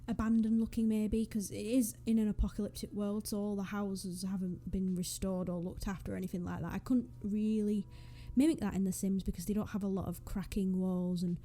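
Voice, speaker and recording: A woman's voice: mid-range voice, little pitch movement, a little fast, hardly any pauses, dark tone. A young woman from northwest England with a Northwest English accent, chatting casually and rambling with self-deprecating asides over a game build. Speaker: Sims YouTuber. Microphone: USB desk microphone.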